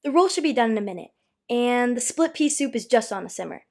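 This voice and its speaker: Casting: actress as chatty female kitchen colleague, audio drama, highish pitch, very animated, helpful and busy. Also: fast talker. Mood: busy, friendly. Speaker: voice actor.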